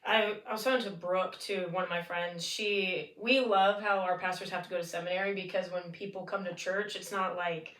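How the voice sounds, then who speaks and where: A woman's voice: lowish voice, fairly even intonation, moderate pace, few pauses, fairly bright tone. Chatty woman podcast host, roomy sound. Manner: chatty, upbeat, laughs often. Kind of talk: casual podcast chat. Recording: roomy echo, mic at a distance.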